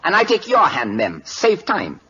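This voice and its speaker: A man's voice: fairly high pitch, huge pitch swings, normal speed, bright, forceful tone, full character voice. An actor playing an imperious, impatient King of Siam in an old radio drama, with a Siamese accent and broken English, barking repeated questions and commands. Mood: pleased, commanding. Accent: put-on Siamese. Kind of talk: 1940s radio drama acting. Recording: dull lo-fi old radio recording.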